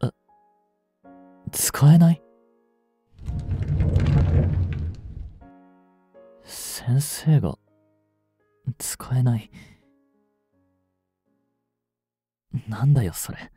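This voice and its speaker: A man playing a gentle, brooding male chemistry teacher in a voice drama, soft-spoken with sighs and murmurs. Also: mid-range voice, lively intonation, slow pace, breathy edge, no echo, soft background music. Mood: hurt, taken aback. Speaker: voice actor in a fan voice drama. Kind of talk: Japanese audio drama monologue, intimate acting.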